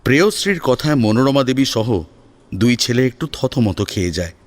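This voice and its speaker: A man's voice: medium pitch, lively intonation, normal speed. A man narrating a scripted story in a dramatic storytelling style.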